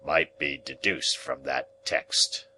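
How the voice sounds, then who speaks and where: A man voicing a sanctimonious, moralizing man who speaks in a solemn, deep, preachy voice. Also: steady tone, slow pace, pushed and bright, slight background noise, exaggerated voice.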